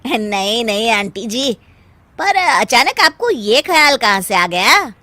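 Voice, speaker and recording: A woman's voice: on the high side, very animated, takes it slowly, pushed and bright, no echo, exaggerated voice. A woman voicing an easygoing, sceptical young man visiting as a houseguest in a story.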